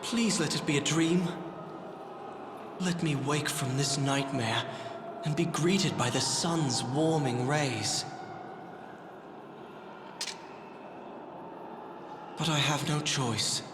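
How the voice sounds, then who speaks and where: An actor playing an earnest, anguished young prince in a fantasy video game, with formal, theatrical delivery. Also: male voice, a little high, slow pace, noisy background. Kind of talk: dramatic video game voice acting. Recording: reverberant hall sound with music behind.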